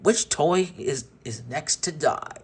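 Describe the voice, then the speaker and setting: A man's voice: a little high, lively intonation, slightly rough, voice pushed up, exaggerated voice. A man doing a creepy, taunting toy villain. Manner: slow, taunting, menacing.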